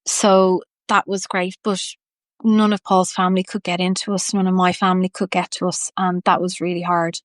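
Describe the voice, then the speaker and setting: A woman's voice: fairly low pitch, flat delivery, moderate pace, no echo. A woman with a Dublin Irish accent, a homeopath telling her personal story in an earnest, reflective way. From Ireland.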